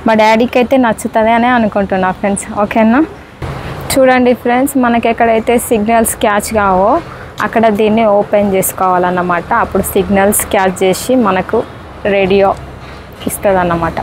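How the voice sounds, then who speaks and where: A woman with a Telangana Telugu accent and a mid-pitched voice demonstrates a product in a casual way. She often checks in with her viewers, and there is light noise behind her. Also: steady tone, brisk, poor audio. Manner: repeats checking tag questions to viewers. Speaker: host of unboxing video.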